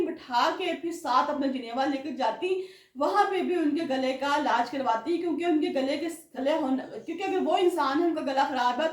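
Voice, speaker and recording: A woman delivering an earnest political commentary monologue that builds to indignant rhetorical questions. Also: high voice. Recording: roomy echo from a distance.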